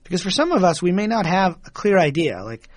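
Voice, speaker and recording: Guest speaker: middle-aged man from Michigan, higher voice, dry close mic, reflective and chuckly. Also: sing-song, a little fast, dry recording. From Michigan, USA. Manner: thoughtful, chuckles often, repeats words when starting. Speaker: guest, author and engineer. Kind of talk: reflective conversational storytelling.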